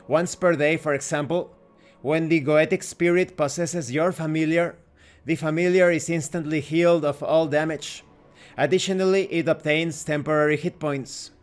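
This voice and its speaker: A man reading a scripted explainer narration as a tabletop game reviewer. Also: on the high side.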